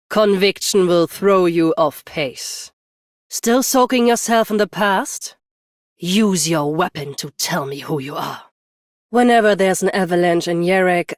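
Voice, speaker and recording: A woman's voice: lowish voice, no room sound, clean studio sound, close to an everyday voice. A woman playing a stoic, battle-hardened champion swordswoman and bodyguard in a video game, restrained and commanding. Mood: stern, commanding. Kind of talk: scripted game character voice lines.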